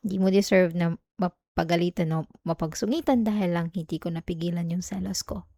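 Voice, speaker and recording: A woman's voice: lowish voice, fairly even intonation, brisk, mellow, dry recording, lightly acted. A woman acting as a jealous, clingy young girlfriend in an intimate ASMR roleplay, with a Filipino accent. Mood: remorseful, tender.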